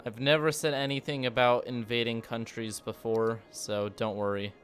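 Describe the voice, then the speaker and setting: A man's voice: medium pitch, little pitch movement, moderate pace, fairly bright tone, a little hiss or hum. A man streaming a city-building game, chatting casually and joking with sarcasm. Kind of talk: casual gameplay commentary.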